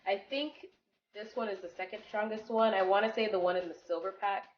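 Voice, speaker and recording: A woman's voice: mid-range voice, steady tone, fairly quick, slight background noise. A young woman with a congested voice from a cold, casually chatting through a hair tutorial with lots of fillers. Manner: chatty, filler-heavy, rambling. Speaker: beauty vlogger. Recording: dull lo-fi sound with background music. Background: music.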